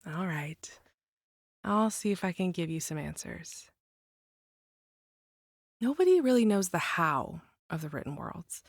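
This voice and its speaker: An actress playing an enigmatic, gently teasing woman from a world of monsters, telling a hushed bedtime tale in a measured, lyrical way for an audio drama. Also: medium pitch, no echo, studio quality.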